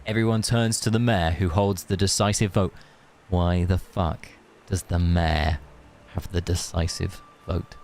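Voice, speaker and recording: A man's voice: sits low, steady tone, unhurried, darkish tone, slight background noise. A man streaming a game, reading story text aloud with sarcastic, joking asides.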